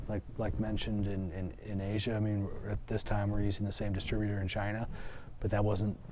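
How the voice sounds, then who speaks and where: Low-voiced man explaining, faint noise behind. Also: level tone, fairly quick, a little mellow. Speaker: company executive. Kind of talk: explanatory interview talk.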